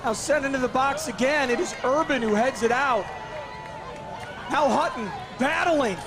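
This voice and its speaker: A man calling a live soccer match play by play, hoarse and losing his voice, his voice jumping up on big chances. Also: very high voice, normal speed. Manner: excitable, voice jumps up on big chances. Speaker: play-by-play commentator. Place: outdoor soccer field. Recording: loud crowd and outdoor noise. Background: cheering crowd.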